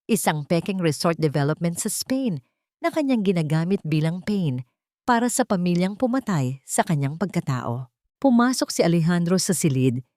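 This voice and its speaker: A woman with a Filipino accent reads a dramatic story as an audiobook narrator. Her voice sits a little low, and the recording is dry, with no room around it. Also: dark tone, no echo.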